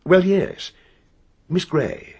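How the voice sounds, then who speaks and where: An actor playing a brisk, impatient, well-to-do English gentleman in a radio drama, forthright and clipped, with an English accent. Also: sits high, expressive, breathy edge, full character voice. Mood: eager, businesslike.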